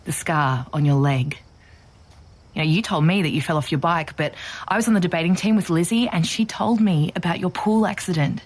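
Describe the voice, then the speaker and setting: Casting: female actor for insistent young investigator, slightly low pitch, halting but persuasive, naturalistic audio drama. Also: close to an everyday voice. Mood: probing, insistent. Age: young adult. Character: earnest, pushy young true crime podcaster.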